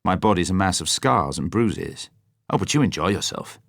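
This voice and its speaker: A man playing a hardened male spy, speaking low, clipped and subdued. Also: animated, moderate pace, creaky, a bit bright, no echo, studio quality, heavily acted. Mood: curt.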